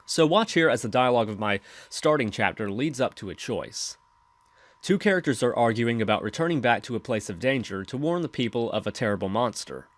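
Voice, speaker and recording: A man's voice: medium pitch, animated, a little fast, no echo. Male tutorial narrator, instructional scripted read, dry close-miked sound. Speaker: tutorial host.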